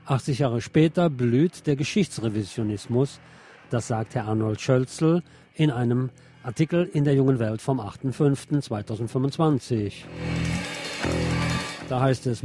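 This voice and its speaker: A man's voice: mid-range voice, dark tone. A man presenting a radio magazine, reading scripted news commentary aloud.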